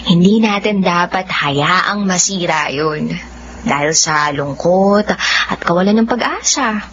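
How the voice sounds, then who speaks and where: Gentle, lowish-voiced young Filipino woman. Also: lively intonation, slow pace. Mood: sincere, hopeful. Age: young adult. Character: gentle, resilient blind young woman, modest and hopeful. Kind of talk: drama dialogue. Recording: dull, faintly noisy recording.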